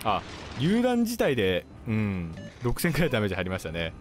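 A man's voice: fairly low pitch, huge pitch swings, fairly quick. A man commentating on his gameplay as a video host, explaining things in a polite manner. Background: game music, gunfire and artillery.

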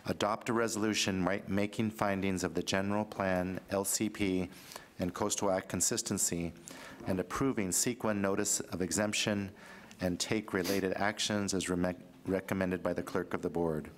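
A man in his sixties at a desk microphone in a board chamber, reading corrections to the agenda in a halting way with fillers. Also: low-pitched, steady tone, a little hiss or hum. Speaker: county administrator. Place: large county board chamber.

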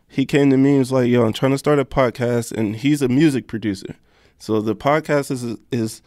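A man's voice: mid-range voice, darkish tone, no echo. A man talks in a loose, informal way as a guest on a talk show. His mid-range voice is recorded dry, and he circles back over his words with plenty of "like". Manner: informal, repeats himself, frequent "like". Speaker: guest chef and podcast co-host. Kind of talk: casual interview chat.

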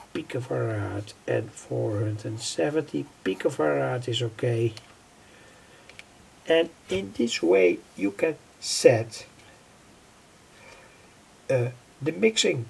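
Slow, gravelly older man explaining. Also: mid-range voice, a little mellow. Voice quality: husky, gravelly. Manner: halting, repetitive, pieced-together phrases. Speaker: electronics hobbyist presenter. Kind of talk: unscripted tutorial explanation. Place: indoors at a worktable.

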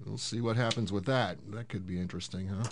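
A man hosting a casual software tutorial, explaining things step by step in an unhurried way. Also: fairly low pitch, steady tone, slight background noise. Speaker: host of a tech tutorial series.

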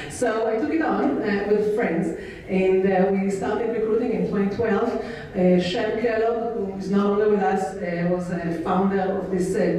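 A woman's voice: mid-range voice, a little mellow. Israeli-accented woman in a very echoey room. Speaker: panel moderator. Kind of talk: informal introduction of a panel to an audience. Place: large indoor conference room. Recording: very echoey room, distant mic, faint noise.

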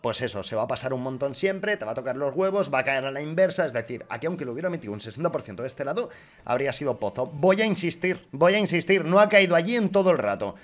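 Excitable higher-pitched Spanish man, lively streamer. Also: fast talker. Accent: Castilian Spanish. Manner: foul-mouthed, excitable. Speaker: YouTuber, gaming streamer. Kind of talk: casual gaming commentary to viewers. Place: small room with acoustic foam panels. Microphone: boom-arm desk mic close up.

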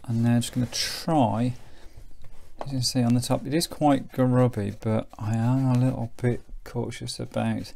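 A middle-aged British man giving a casual, unhurried hands-on commentary as he demonstrates something, thinking aloud as he goes. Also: mid-range voice, steady tone, vocal fry, mellow. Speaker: presenter demonstrating a vintage radio. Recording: faint background noise, clicks of handling.

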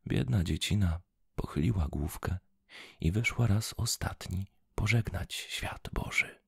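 A man reading a fairy tale aloud in a soft, slow whisper. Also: low-pitched, expressive, vocal fry, husky, no room sound, clean studio sound. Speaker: storyteller.

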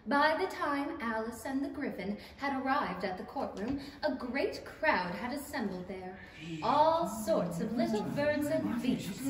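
A young woman narrating a 1930s-style storybook radio play from a script. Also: fairly high pitch. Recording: echoey theatre stage, distant mic, hiss.

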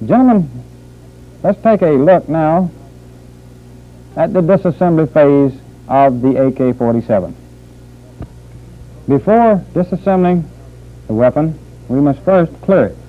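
Man, narrow tinny old film audio, fairly high voice, military instructor, measured formal teaching narration. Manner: formal, measured, deliberate phrasing. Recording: muffled phone-like old training film soundtrack.

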